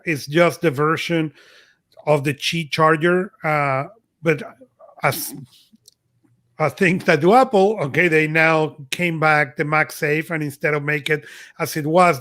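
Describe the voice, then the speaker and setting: A man's voice: on the high side, a bit bright. A man co-hosting a tech news podcast, speaking eagerly in slightly broken English. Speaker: podcast co-host. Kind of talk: casual tech news chat.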